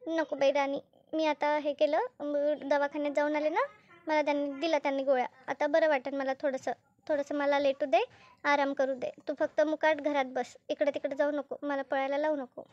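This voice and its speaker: A woman's voice: sits high, little pitch movement, moderate pace, darkish tone, lo-fi sound, natural voice. A woman voicing an injured village mother, weary and bossy, in an amateur cartoon comedy dub. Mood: weary, plaintive. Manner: plaintive, weary, ordering others about.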